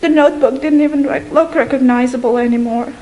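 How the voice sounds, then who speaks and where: A young woman from Bosnia slowly and earnestly telling her life story as a testimony. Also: sits high, steady tone, mellow, low-quality recording. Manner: slow, earnest, with long pauses. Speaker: guest giving a testimony. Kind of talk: slow personal testimony.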